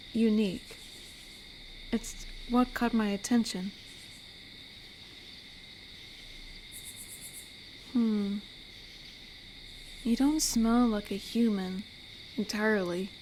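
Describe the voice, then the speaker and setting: A woman's voice: a little high, steady tone, a little mellow, slight background noise. A woman playing an amiable, teasing werewolf who protects a forest, speaking in an intimate, conversational roleplay drama. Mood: curious, teasing. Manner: unhurried, teasing, conversational with pauses. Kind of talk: roleplay audio drama, intimate one-to-one address.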